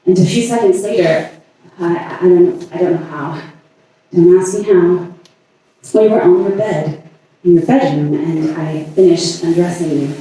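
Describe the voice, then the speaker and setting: Guy playing a haunted lovelorn woman reading on stage, dark soft voice, long pauses, far from the mic. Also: high-pitched, slight background noise. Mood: haunted, confessional. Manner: halting, deliberate, with long pauses. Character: lovelorn woman haunted by an obsessive, dangerous passion. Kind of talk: staged dramatic monologue reading. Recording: echoey, distant stage recording.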